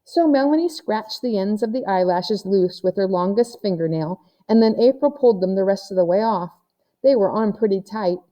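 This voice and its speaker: Very mellow woman reading a children's story. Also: medium pitch, expressive, normal speed, rough recording. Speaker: audiobook reader.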